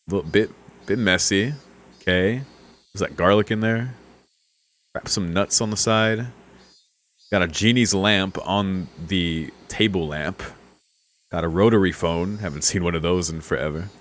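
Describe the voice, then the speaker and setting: Low-voiced man doing amused game commentary. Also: a bit bright. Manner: amused, slangy. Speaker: streamer doing a let's play. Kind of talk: casual game commentary, reading lines aloud.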